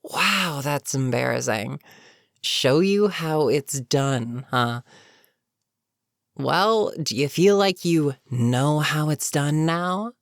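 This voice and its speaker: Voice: medium pitch, expressive, takes it slowly, vocal fry, no echo, studio quality. A performer voicing a weary goblin captain in a roleplay audio, chatting casually and sardonically with a captive, amused yet businesslike. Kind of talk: immersive character roleplay monologue, conversational.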